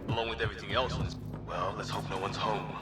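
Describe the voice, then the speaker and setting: A man's voice: fairly low pitch, fairly even intonation, fast talker, over loud music, lightly acted. A man playing a wary, sardonic squadmate in a sci-fi video game, with a casual way of talking. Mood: wry, wary. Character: wry, wary male squadmate on alien expedition. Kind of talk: naturalistic video game dialogue acting. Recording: game audio under loud ambient noise.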